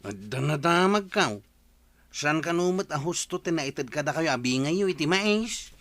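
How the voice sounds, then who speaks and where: A man's voice: a little high, expressive, moderate pace, a bit bright, no room sound. A man playing a matter-of-fact, inquisitive younger village nephew in a radio comedy, with a Filipino accent. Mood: questioning. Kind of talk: Ilocano radio comedy drama acting.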